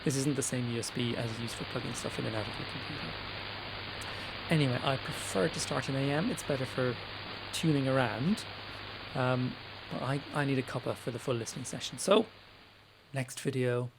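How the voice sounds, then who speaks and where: A man's voice: medium pitch, a little fast. A man presenting a casual, conversational screen-share tutorial in an informal way, with small hesitant asides. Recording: clear background noise.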